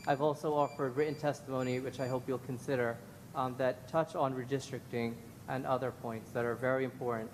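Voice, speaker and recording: A man's voice: fairly even intonation, fairly quick. A man giving earnest, formal prepared testimony as a member of the public at a hearing. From Guyanese background. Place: large indoor public hearing room. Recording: echoey hall, clear rumbling room noise.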